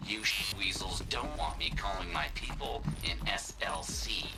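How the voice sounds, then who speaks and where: An actor playing a bullying, petty security director in a video game, delivering a curt, condescending recorded message. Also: male voice, low voice, a little slow, loud music behind the voice. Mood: contemptuous, irritated.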